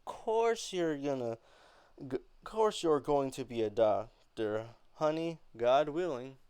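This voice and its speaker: A man's voice: medium pitch, lively intonation, slow, darkish tone, clean tone, no echo, pitched above the performer's own voice. A man voicing a stern, pious mother with a folksy, admonishing manner, in an amateur dramatic play reading. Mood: reproachful. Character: stern, pious mother scolding her grown daughter.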